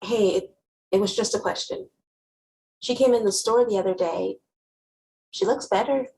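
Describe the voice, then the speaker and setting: A woman playing a wry, guarded, sharp-tongued teenage girl who narrates her own story conversationally in a dramatic novel reading. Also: mid-range voice, normal speed, a little hiss or hum, natural voice, low-quality recording. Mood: concerned. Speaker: actor.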